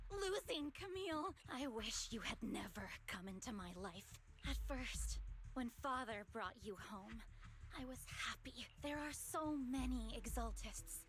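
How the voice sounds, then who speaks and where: An actress playing a bitter, possessive and commanding young daughter in a dramatic video game, with emotional delivery and music behind her. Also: high voice.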